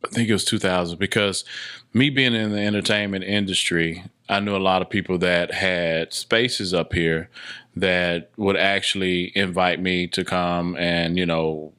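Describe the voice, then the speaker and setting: A man's voice: low voice, fairly even intonation, measured pace, sharp tone, clean tone. A man from Dallas, Texas, talking casually and telling stories as a podcast guest. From Dallas, Texas, United States. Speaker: podcast guest, filmmaker and musician. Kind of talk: casual conversational storytelling.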